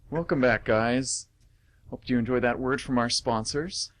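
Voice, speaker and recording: A man's voice: medium pitch, slow, slight background noise. A man working as host and narrator of a radio theatre show, announcing and reading scripted stories.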